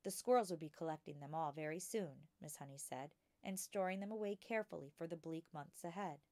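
A woman reading a children's book aloud as a storytime narrator, expressive and clearly enunciated. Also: deep voice, fairly quick, no echo. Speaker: storytime reader.

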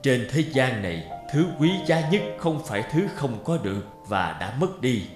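A man voicing a wise old deity in an animated fairy tale, solemn, measured and lecturing, stern yet compassionate. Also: mid-range voice, close to an everyday voice. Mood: wise, admonishing. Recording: roomy echo over guitar music.

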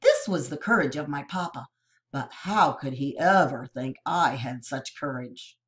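Slow, expressive woman reading a novel aloud. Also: rumbling low. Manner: expressive, storytelling delivery. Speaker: narrator.

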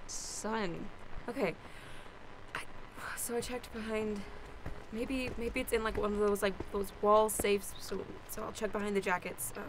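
A woman's voice: a little high, close to an everyday voice. A woman playing a nervous young girl snooping around, hesitantly talking to herself in an improvised radio play. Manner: hesitant self-narration, muttering. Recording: loud background noise.

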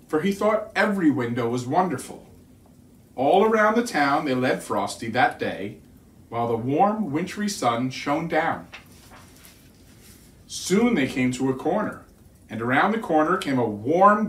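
A middle-aged man reading a children's storybook aloud in an expressive, deliberate storytelling manner. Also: fairly high pitch. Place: living room by a fireplace, indoors. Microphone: distant camera. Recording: roomy living room, distant mic.

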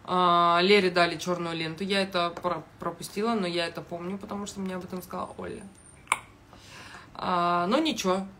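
A young woman giving casual video commentary in a blunt, halting, heavily sweary manner, recorded on a phone in a kitchen. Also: on the low side, steady tone, fairly bright tone. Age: young adult. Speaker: reality show commentator. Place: small kitchen indoors. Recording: noticeable background noise.

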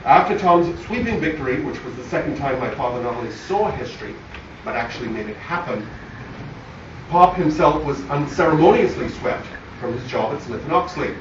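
A man with a Barbadian accent reads a prepared tribute in a formal, measured way, his voice slightly high. It is picked up from far off in a very echoey space, through a muffled phone recording. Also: expressive, a little hiss or hum. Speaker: son delivering a eulogy for his father. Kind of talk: scripted memorial speech to an audience. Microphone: phone camera far from the speaker.

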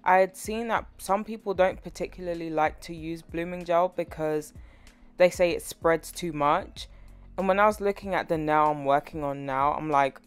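A young woman with a low voice that is a little hoarse from a sore throat talks through a nail tutorial voiceover in a chatty, rambling way. Faint music plays under her. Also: normal speed. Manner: chatty, rambling, self-deprecating with laughs. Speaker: nail art tutorial host. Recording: voice-over with faint background music.

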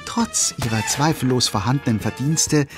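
A man's voice: medium pitch, moderate pace, over music, lightly acted. A man playing a wry, playful narrator who addresses listeners in a German children's audio drama. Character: wry, chatty male storyteller.

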